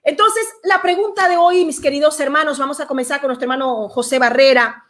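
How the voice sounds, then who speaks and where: A woman's voice: high-pitched, fairly even intonation, brisk. A woman from Venezuela hosting a church talk program, peppering her speech with amens in a preacherly way. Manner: warm, frequent amens, preacherly. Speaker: program host.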